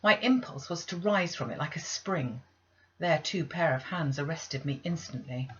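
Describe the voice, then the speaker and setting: A woman's voice: sits low, animated, moderate pace, muffled recording, slight background noise. A woman reading a classic novel aloud as scripted literary audiobook narration. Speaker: audiobook reader.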